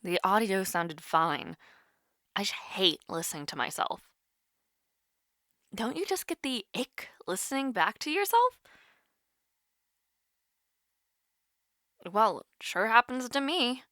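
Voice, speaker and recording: Bright, nervous young woman, acted, on a call. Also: medium pitch, sing-song, no room sound, studio quality. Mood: awkward, self-conscious. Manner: hesitant, halting, teasing. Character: nervous, playful young woman calling her online crush. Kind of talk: intimate audio roleplay, one-sided call.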